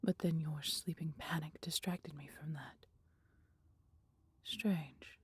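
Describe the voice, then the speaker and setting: A woman voicing a caring, protective female dragon in an intimate, breathy ASMR roleplay, with gently formal, old-fashioned speech. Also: deep voice, slow, heavily acted. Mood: pensive, tender. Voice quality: breathy, whispery.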